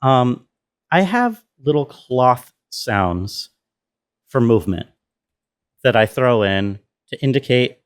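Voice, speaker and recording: A man's voice: mid-range voice, very animated, slow pace, long pauses, smooth. A man giving an informal walkthrough as a sound designer, thinking aloud as he explains. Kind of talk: casual tutorial walkthrough.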